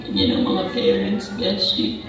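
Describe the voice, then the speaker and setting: A woman's voice: mid-range voice, little pitch movement, unhurried, soft background music, close to an everyday voice. A woman playing a needy, lovestruck young transgender woman with campy, pleading delivery in an amateur stage drama. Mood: needy, wistful. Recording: echoey room, distant mic, faint noise.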